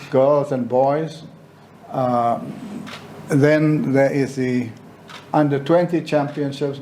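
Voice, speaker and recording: Male official at a press conference, unhurried and halting, mid-range voice, faint noise behind. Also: mid-range voice, darkish tone, low-quality recording. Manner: halting, deliberate, with pauses mid-sentence. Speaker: football association official chairing a press conference. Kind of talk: informal press conference address.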